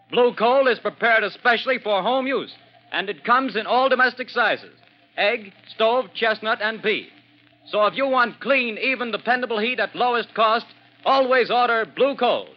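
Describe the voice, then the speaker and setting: Male 1940s ad announcer, high pitch, deliberate emphatic sell, dull old radio sound. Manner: emphatic, deliberate salesman delivery. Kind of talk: 1940s radio advertisement read. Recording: muffled vintage radio recording.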